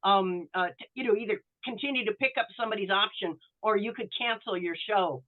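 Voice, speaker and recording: Over thin, phone-like call audio, a woman speaks at a middling pitch. She explains casually as a guest author, pausing often to find her words. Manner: halting, searching for words.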